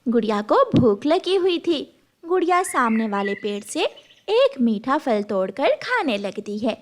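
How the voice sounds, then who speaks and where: A woman narrating a children's cartoon story in a gentle storybook manner. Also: fairly high pitch, very animated, a little slow. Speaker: narrator and voice of all figures.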